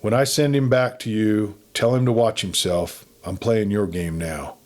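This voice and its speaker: A man's voice: lowish voice, steady tone, a little slow, darkish tone, lightly acted. A man voicing a young, half-wild cowboy wanderer in a western story, brooding and terse. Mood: grim, resigned. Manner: terse, heavy.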